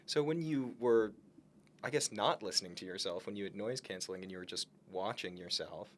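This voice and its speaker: A man's voice: on the low side, a little fast, a little hiss or hum. A man co-hosting a podcast in casual conversation, speaking in an encouraging, reflective way. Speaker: podcast co-host. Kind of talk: casual conversation about self-improvement.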